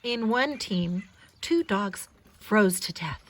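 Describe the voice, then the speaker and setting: A woman reading a children's picture book aloud in an expressive, teacherly way, with simple asides to young listeners. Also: mid-range voice, slow.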